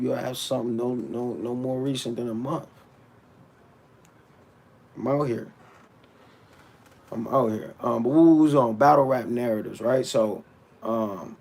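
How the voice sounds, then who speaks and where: A man's voice: medium pitch, a little mellow, slightly rough. A man on a livestream who rants bluntly and animatedly, restarting his words as he answers his chat. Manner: talks back to his chat. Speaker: battle rapper streaming to his chat. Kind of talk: casual livestream rant.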